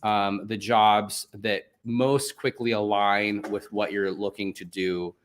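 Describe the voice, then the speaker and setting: A man's voice: on the low side, level tone, slow, a little hiss or hum. A man from Venezuela, a native Spanish speaker, hosting a live online event in a chatty, upbeat way with many fillers. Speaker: host.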